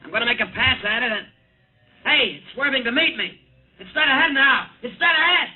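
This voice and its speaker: An actor playing a desperate, haunted rocket test pilot in a mid-century radio drama, speaking in an urgent, halting, earnest way. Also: male voice, extremely high pitch, expressive, slightly rough, full character voice. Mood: excited, alarmed. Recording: tinny narrow-band vintage radio broadcast, music.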